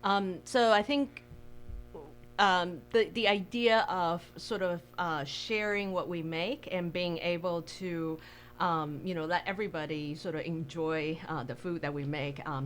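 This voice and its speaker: Woman from Taiwan, lowish voice, hesitant with lots of ums, faint hum behind. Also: steady tone, a little slow. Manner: hesitant, many fillers, laughs easily. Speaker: bakery co-owner, guest. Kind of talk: casual interview talk.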